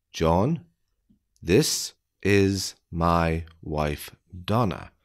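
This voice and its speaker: A man with a low, deep voice reads out an English listening quiz. He speaks extremely slowly, setting each phrase apart so that learners can follow every word. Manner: deliberate, evenly spaced phrasing for learners. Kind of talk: slow scripted quiz reading.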